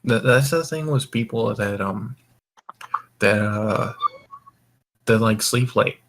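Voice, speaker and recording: A man's voice: lowish voice, slow pace, background noise. Rambling, tipsy young man. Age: young adult. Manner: rambling, sweary. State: drunk. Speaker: voice call host. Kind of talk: casual group chat.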